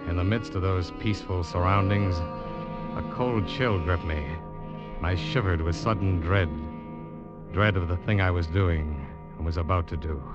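Young American adventurer, low voice, tense and determined, dramatic storyteller, dull vintage radio sound. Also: male voice. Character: tense, determined young male adventurer telling his tale. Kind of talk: old-time radio drama acting, first-person narration. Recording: muffled old radio recording.

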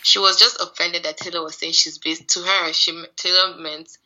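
A woman co-hosts a casual recap podcast. Her voice sits on the low side, husky yet strikingly bright and forward. She sounds a bit tired and sleepy as she chats. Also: flat delivery, moderate pace, lo-fi sound. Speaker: podcast co-host. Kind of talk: casual chat recapping TV show.

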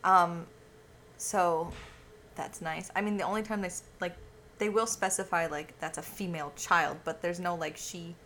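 A woman's voice: fairly low pitch, fairly even intonation, moderate pace, a bit bright, a little hiss or hum. Rambling, self-mocking woman co-host. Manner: rambling, self-correcting, laughs at herself. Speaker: podcast co-host. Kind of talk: casual chat.

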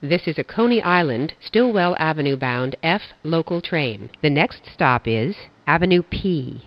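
An automated-sounding subway announcer reading stops and transfers in flat, measured phrasing. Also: mid-range voice, no room sound. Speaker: train announcer. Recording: dull lo-fi audio.

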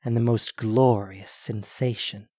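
A man's voice: fairly low pitch, fairly even intonation, unhurried, dark tone, dry recording, studio quality. A man narrating a scripted audio drama as a storyteller.

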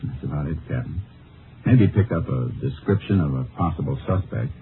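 An actor playing a determined Texas Ranger in a 1950s radio drama, an American with a folksy, clipped, understated delivery. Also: male voice, a bass voice, expressive, brisk, music in the background. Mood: earnest, determined. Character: laconic, determined male Texas Ranger investigator, also narrating. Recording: muffled old radio recording, narrow band.

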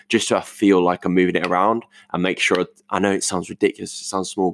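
A young British man with a croaky voice recording a casual, reflective voice note at home, rambling informally as he thinks aloud. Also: low voice, dry recording, clean studio sound. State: croaky voice today. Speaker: podcast host. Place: multi-purpose living room at home.

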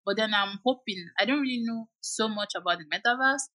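A woman's voice: fairly high pitch, brisk, sharp tone. A woman guest explaining things in a casual chat, with lots of "like" fillers.

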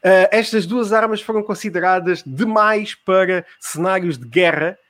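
A man with a high voice and a European Portuguese accent hosts a comedy news show. He presents casually, chatting his way through items as the show's host. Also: normal speed, a bit bright.

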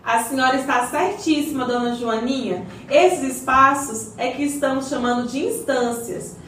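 A woman's voice: high voice, normal speed, fairly bright tone. A woman playing an encouraging, well-informed community guide in a scripted radio soap, explaining things didactically. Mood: reassuring. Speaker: character explaining community projects. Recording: very echoey, distant mic, faint noise.

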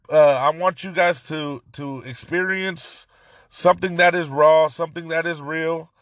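A man hosts a casual podcast in a hoarse, raspy voice that sits fairly high. He talks excitedly and rambles, restarting his sentences again and again. The sound is dry and close, with no room around it. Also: no room sound. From Central California. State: hoarse from yelling. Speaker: podcast host. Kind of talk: casual solo podcast talk.

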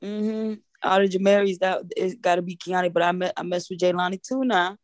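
A woman's voice: on the low side, steady tone, fairly quick, no echo, studio quality. A woman in her thirties in a casual family chat over a video call, talking emphatically with slang. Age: 30s. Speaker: family member.

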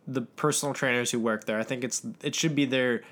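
A man's voice: mid-range voice, fairly even intonation, a little fast, fairly bright tone. A man hosting a fitness podcast in an easygoing, earnest way. He explains lifting form patiently, leaning on plenty of filler words as he goes. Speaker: podcast host. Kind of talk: casual podcast chat.

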